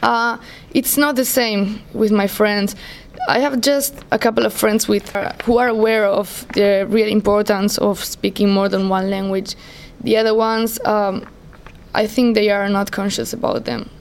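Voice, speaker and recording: A woman's voice: mid-range voice, steady tone, unhurried. Hesitant young Spanish woman. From Spain. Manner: hesitant, with frequent "uh" fillers. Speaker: student interviewee. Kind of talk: casual interview answers.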